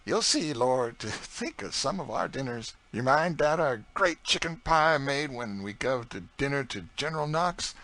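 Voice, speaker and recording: A man's voice: mid-range voice, lively intonation, normal speed, hardly any pauses, sharp tone, voice pushed up. A man putting on a boastful woman cook. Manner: thick old rural dialect, boastful and scolding. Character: proud talkative woman cook, middle-aged. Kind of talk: audiobook character reading.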